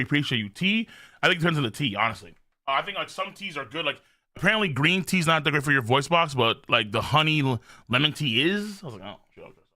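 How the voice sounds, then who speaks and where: A man hosting a livestream, chatting casually in a loud, animated, rambling way with lots of swearing and a slightly strained throat. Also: on the high side. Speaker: live streamer, host. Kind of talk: casual livestream chat, reading viewer messages.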